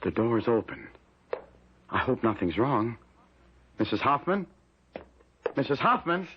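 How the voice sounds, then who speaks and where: An actor playing a desperate, hapless husband in an old-time radio drama, pleading and protesting in plain working-class speech. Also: male voice, medium pitch, big pitch swings, measured pace, a little hiss or hum. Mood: anxious, earnest. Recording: muffled vintage radio recording.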